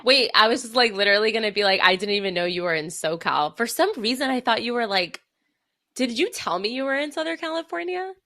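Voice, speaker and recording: A woman's voice: fairly high pitch, animated, quick pace, bright, forceful tone. A woman hosting a podcast, chatting casually and giggling often, with lots of fillers. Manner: bubbly, giggly, filler-heavy. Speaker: podcast host. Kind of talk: casual interview chat.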